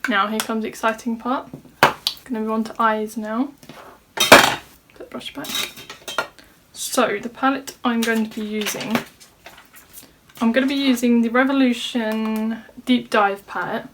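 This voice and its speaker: A woman's voice: on the high side, fairly even intonation, unhurried, long pauses, noisy background. A woman vlogger walking through a makeup tutorial in a casual chat, sounding slightly nasal from a cold. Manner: chatty, talks through each step. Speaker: beauty vlogger. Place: home room at a desk.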